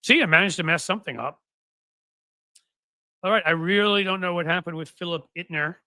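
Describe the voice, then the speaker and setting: A man's voice: high-pitched, a little fast, sharp tone, no echo. A man in his fifties hosting a political livestream, talking casually to viewers in a rambling way with wry asides. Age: 50s. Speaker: political podcast host.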